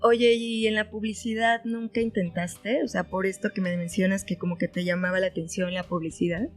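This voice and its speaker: A woman's voice: mid-range voice, flat delivery, a little fast, soft background music. A woman hosting a podcast, asking questions and chiming in with short acknowledgements in a casual conversational way. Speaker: podcast host interviewing a guest.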